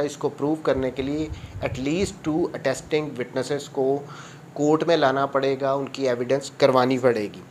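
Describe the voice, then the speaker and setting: Pakistani guy teaching law, mid pitch, explains stuff step by step w english legal terms, bit of background noise. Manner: didactic, repeats and rephrases points, mixes in English legal terms. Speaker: law lecturer and advocate. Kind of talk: explanatory lecture, reading out and explaining legal text.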